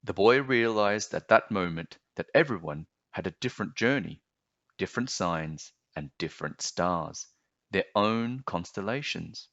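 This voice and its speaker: Male storybook narrator: low voice, slow and expressive, animated pitch, slightly dull recording. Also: no echo. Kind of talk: children's read-aloud narration.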